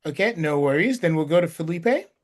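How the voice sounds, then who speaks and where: A man chairing an online workshop over a video call, calling on speakers in turn in a brisk, courteous way. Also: on the high side, animated. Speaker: meeting facilitator.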